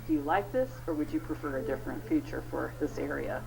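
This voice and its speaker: A woman's voice: sits low, quick pace, vocal fry, rough recording. A woman with an American accent, a county official, answering unscripted interview questions.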